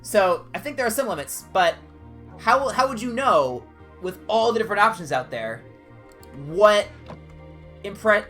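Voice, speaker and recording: A man with a higher-pitched voice, chatting casually and discursively as a game streamer. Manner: animated, discursive, jumping between tangents. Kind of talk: casual rambling livestream chat during gameplay.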